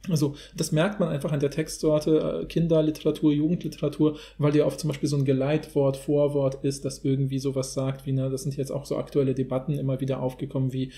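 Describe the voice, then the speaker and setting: A man's voice: mid-range voice, little pitch movement, quick pace, hardly any pauses, mellow. A man in a casual analytical podcast discussion, talking in a rambling, academic way.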